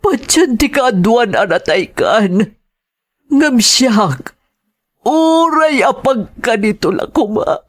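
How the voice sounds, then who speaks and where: A woman's voice: a little high, animated, slow. A woman with an Ilocano Filipino accent playing a hurt, reproachful middle-aged wife in an audio drama, emotional and aggrieved. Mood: anguished, reproachful. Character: wounded, reproachful wife long abandoned by her husband.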